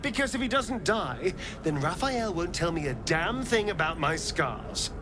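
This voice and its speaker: An actor playing a wry, impatient young adventurer in a video game, expressive and pointed. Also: male voice, high voice, unhurried. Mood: impatient, eager. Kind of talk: video game character acting. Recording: clear ambient noise behind voice.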